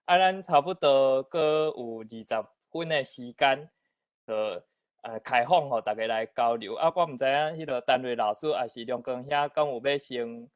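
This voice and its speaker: A man's voice: medium pitch, normal speed, smooth. Male moderator, Taiwanese accent. Informal hosting of a discussion, dry recording without room echo.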